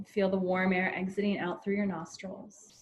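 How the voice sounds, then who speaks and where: A woman leading a guided meditation in a soothing, unhurried way with long pauses between phrases. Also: mid-range voice, level tone, muffled recording.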